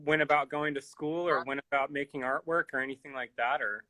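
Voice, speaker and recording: A man's voice: mid-range voice, steady tone, brisk, bright, forceful tone, no echo. A man interviewing an artist, asking long, loosely worded questions and giving frequent backchannel sounds. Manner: friendly, rambling questions with many backchannels. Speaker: curator, interviewer. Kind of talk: conversational interview.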